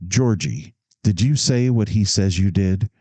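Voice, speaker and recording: A man voicing a gentle, indulgent mother. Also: on the low side, measured pace, dry recording. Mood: gently reproving. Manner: gentle, mildly reproving.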